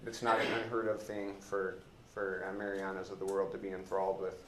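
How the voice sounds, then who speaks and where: Halting American man teaching in an echoey room. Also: low voice, little pitch movement. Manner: halting, rambling, colloquial; joking. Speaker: teacher leading a class discussion of a play. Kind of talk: informal classroom lecture and discussion. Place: indoor classroom. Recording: roomy classroom echo, background hiss.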